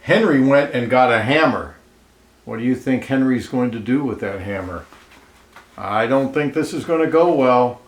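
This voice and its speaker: A man reading a children's picture book aloud expressively, pausing to comment and ask listeners questions, in an echoey room. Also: mid-range voice. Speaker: storybook reader. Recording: roomy, echoey indoor sound.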